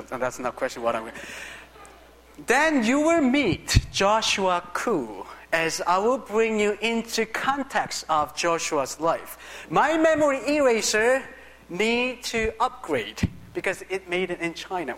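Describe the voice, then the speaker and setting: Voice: medium pitch, fairly bright tone. A pastor giving a sermon who jokes with and coaxes the congregation. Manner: joking, coaxing the congregation. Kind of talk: sermon with playful audience interaction. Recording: echoey hall reverb.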